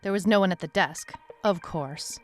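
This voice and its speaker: A woman's voice: lowish voice, moderate pace, close to an everyday voice. Woman as a wry female detective over music. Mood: wry, uneasy. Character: wry, anxious female detective narrating her own case. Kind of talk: noir-like scripted first-person audio drama narration.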